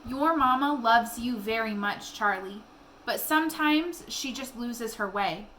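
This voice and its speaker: A motherly country woman from a storybook, as a woman reads her. She speaks frankly in a folksy way, with a wounded undertone. The voice is bright, over faint noise. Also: fairly high pitch, measured pace, low-quality recording. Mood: sad, tender. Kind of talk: storybook read-aloud dialogue.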